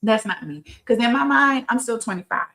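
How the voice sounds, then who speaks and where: A woman's voice: a little high, lively intonation, fairly quick, vocal fry, fairly bright tone. Conversational, emphatic woman of fifty. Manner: emphatic, conversational, repeats phrases for stress and checks in with "right?". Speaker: host of a women's health talk show. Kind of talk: informal educational talk with personal anecdotes.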